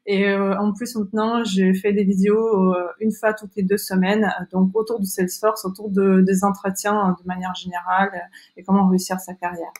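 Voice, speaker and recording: A woman's voice: mid-range voice, flat delivery, moderate pace, a little mellow. A woman from Kazakhstan with a Kazakh accent, hosting a live webinar on a video call, speaking in a hesitant way with many fillers and restarts. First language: not French. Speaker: webinar host, founder of a Salesforce training school.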